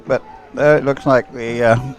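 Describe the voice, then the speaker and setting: A man giving live, conversational race commentary in a halting way, repeating words as he goes. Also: medium pitch, steady tone, rough recording. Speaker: sports commentator.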